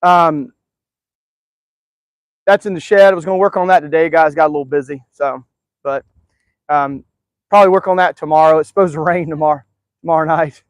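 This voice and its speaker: A man with a fairly high voice talks casually to his viewers from outside in his yard. He rambles in an easygoing way, with plenty of filler words. His voice sounds dry and close, with no room echo. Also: brisk, long pauses. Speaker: live stream host. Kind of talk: casual live-stream chat. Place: outdoors in a backyard.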